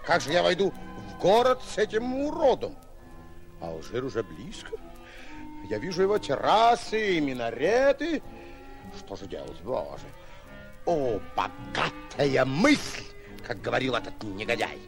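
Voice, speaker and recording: An actor playing a boastful, excitable, hapless adventurer in a theatrical 1940s radio drama, flustered and stammering, given to grand exclamations. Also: male voice, mid-range voice, a little slow, creaky, full character voice. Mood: panicked. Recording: old 1940s radio recording, faint music.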